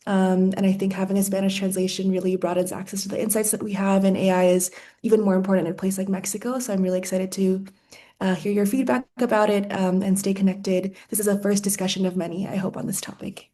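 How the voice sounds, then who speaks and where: Woman, flat mellow voice, lots of ums, short panel remarks. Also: mid-range voice, moderate pace. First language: English. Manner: flat, with um fillers. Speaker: book co-author.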